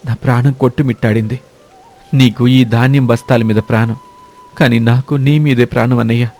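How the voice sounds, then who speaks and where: A man acts out a poor, selfless younger brother from a village cartoon tale. The voice is medium in pitch, earnest and forceful. He speaks in a rustic, heartfelt way. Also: fairly even intonation, moderate pace, natural voice. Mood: emotional, earnest. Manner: forceful, emotional, rustic colloquial speech. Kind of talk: dramatic moral-story cartoon voice acting.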